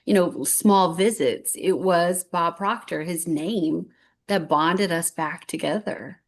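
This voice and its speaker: A woman in her fifties speaks with a somewhat low voice, earnest and rambling. She tells a personal story on stage and often restarts her sentences. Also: takes it slowly. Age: 50s. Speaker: guest speaker.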